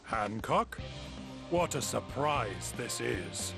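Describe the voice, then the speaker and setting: An actor playing a formal, courteous man in a game cutscene, speaking slowly and deliberately. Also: medium pitch, sing-song, vocal fry, full character voice. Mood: mildly surprised. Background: game soundtrack music.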